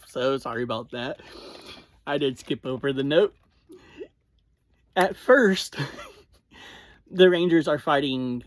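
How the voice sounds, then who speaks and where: An online reviewer giving a casual episode recap in short, halting phrases with pauses between them. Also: medium pitch.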